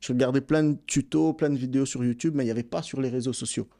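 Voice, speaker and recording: A Belgian man, a boxing coach and content creator, telling his story in a relaxed, easygoing way as a podcast guest. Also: mid-range voice, fast, vocal fry. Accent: Belgian French. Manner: relaxed storytelling, many fillers. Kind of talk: casual interview.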